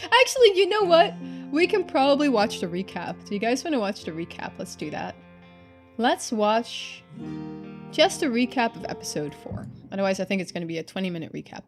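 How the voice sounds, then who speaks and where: A woman streamer giving chatty game commentary and expressive narration. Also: a little high, a little fast. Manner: casual, chatty. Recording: music behind the voice. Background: music.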